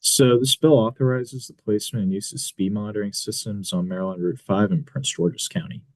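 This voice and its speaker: A man on committee staff reading bill amendments in a measured, precise way. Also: on the low side, expressive, no room sound, low-quality recording. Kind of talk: reading legislative text.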